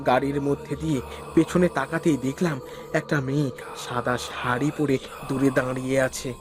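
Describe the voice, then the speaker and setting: A man's voice: mid-range voice, level tone, normal speed, over music. A man narrating a spooky cartoon story in the first person. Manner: stammers nervously when frightened. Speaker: narrator and lead character.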